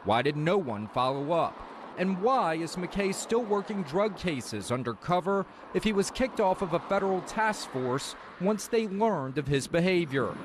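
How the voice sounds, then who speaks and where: Higher-pitched American male news reporter. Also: lively intonation, a little slow, noisy background. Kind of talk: scripted investigative news narration.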